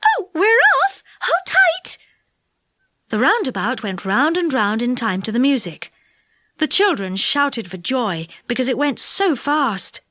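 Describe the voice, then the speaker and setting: A woman reads a children's storybook aloud with expressive flair. Her voice sits fairly high and swings widely in pitch, and the recording is dry and close. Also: measured pace, dry recording. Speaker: audiobook narrator. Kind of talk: scripted children's storybook narration.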